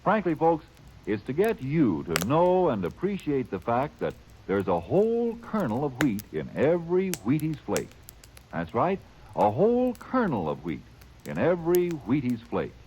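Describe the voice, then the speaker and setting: A man's voice: medium pitch, wildly swooping pitch, measured pace, a little mellow, poor audio. A man announcing a radio sponsor message in a chatty, persuasive way. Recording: old radio broadcast, faint hiss.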